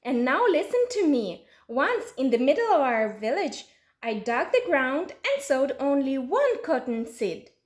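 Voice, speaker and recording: A woman with an Uzbek accent voicing a bold, clever young village girl in a storybook folk tale, assertive and animated. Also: sits high, a little slow. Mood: excited, boastful. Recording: roomy, echoey sound.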